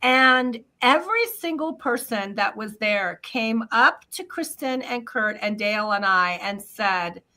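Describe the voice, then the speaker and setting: A woman telling a quick personal story in a casual, halting way, repeating words as she starts. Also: fairly high pitch, expressive, pushed and bright.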